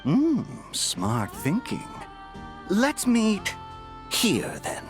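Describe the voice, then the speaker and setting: An actor playing a shifty underworld dealer in a video game, talking in a sly, coaxing way. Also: male voice, high-pitched, huge pitch swings, extremely slow, over music, exaggerated voice.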